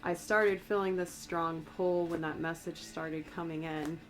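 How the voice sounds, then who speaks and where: An American woman with a slightly raspy voice from a cold, giving a soft, meandering, conversational ASMR-style tarot reading. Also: fairly low pitch, steady tone, a little slow, poor audio. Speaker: tarot reader and astrologer.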